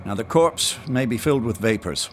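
A sober, mature colonial doctor, acted by a man in a radio play. His voice is fairly low and matter-of-fact, explaining in measured phrases over clear background noise. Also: expressive, close to an everyday voice. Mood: intent. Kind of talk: period radio drama acting. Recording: clear ambient noise behind.

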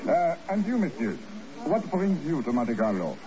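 An actor playing a jovial, effusive French casino assistant manager, a former bouncer, with a French accent in 1950s radio drama style. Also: male voice, a little high, big pitch swings, moderate pace. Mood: genial, proud. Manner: effusive, relishing, courteous. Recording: muffled old radio recording, crowd murmur.

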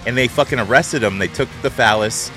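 A man's voice: mid-range voice, fairly quick, faint music behind. A man co-hosting a casual podcast about toys, telling rambling stories and swearing freely. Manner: swears a lot, excited, rambling storyteller. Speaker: podcast co-host.

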